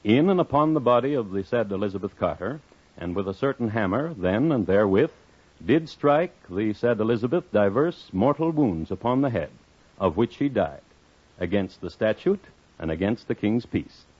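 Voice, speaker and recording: A husky, low-pitched male crime storyteller from 1950s radio, formal and measured. His theatrical narration swings widely in pitch, on a muffled old recording. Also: animated, darkish tone. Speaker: narrator host.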